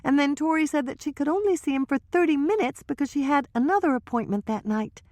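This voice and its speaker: A woman's voice: high-pitched, quick pace, darkish tone, no echo, studio quality, voice pushed up, lightly acted. A woman voicing a nervous, gossipy young woman who talks in a hesitant, breathless way.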